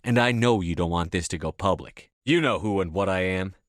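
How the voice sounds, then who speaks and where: A man's voice: low-pitched, brisk, no echo, studio quality, natural voice. A man playing a commanding, no-nonsense military officer in a video game, speaking in a terse, direct, authoritative way. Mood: firm, insistent. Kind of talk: scripted game dialogue.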